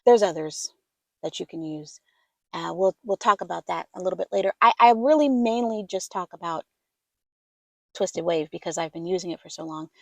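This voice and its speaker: A soft-spoken woman giving casual advice as a voiceover coach, chatty and easygoing. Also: on the low side, expressive, moderate pace, creaky, no echo. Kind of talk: casual instructional talk to camera.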